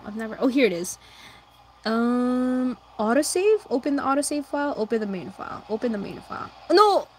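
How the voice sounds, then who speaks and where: A young woman chatting casually with her viewers while drawing, answering chat and humming along, her voice slightly hoarse. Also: medium pitch, slight background noise. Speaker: livestreamer drawing anime fan art.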